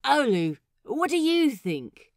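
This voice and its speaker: Casting: bossy pirate cook done by a woman, loud and excitable, pitched up, blunt comic storybook voice. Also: slow, no echo, clean studio sound, exaggerated voice. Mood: excitable, pushy.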